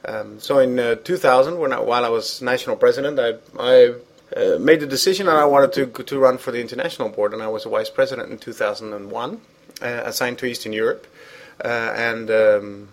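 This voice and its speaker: A man's voice: mid-range voice, a little fast. A man whose first language is Danish, from Denmark, speaking English as an interview guest, hesitant with many fillers and restarts. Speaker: guest, former international organization president. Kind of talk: conversational interview.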